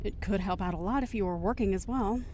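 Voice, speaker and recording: A woman's voice: mid-range voice, fast, darkish tone, a little hiss or hum, close to an everyday voice. A woman playing a patient, placating middle-aged mother in an audio drama, reassuring and coaxing.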